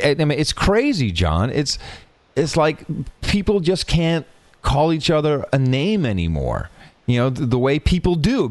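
A man's voice: mid-range voice, no room sound. A man co-hosting a podcast, rambling in casual chat with many hesitations and fillers. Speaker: podcast co-host.

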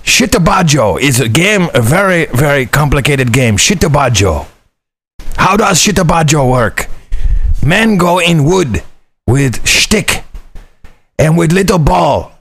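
A man putting on a Chinese spy who reports to his leader in halting broken English, telling a joke. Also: on the high side, big pitch swings, fairly bright tone, breathy edge, pitched above the performer's own voice, exaggerated voice. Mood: mock earnest.

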